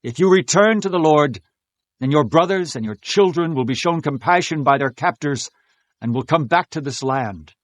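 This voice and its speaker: A man's voice: fairly high pitch, animated, normal speed, no room sound, lightly acted. An actor playing an earnest, pious king of Judah in a dramatized scripture reading, declaiming and pleading with his people. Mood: urgent exhortation.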